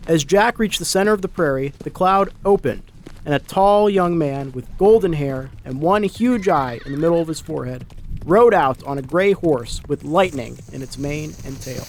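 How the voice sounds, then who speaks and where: A man's voice: fairly high pitch, animated, moderate pace. A man narrating a dramatic short story from a script.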